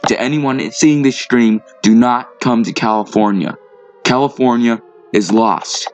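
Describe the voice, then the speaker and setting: An actor playing an alarmed field news reporter, urgently warning viewers in a dramatic live report. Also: male voice, medium pitch, unhurried, a little hiss or hum, lightly acted.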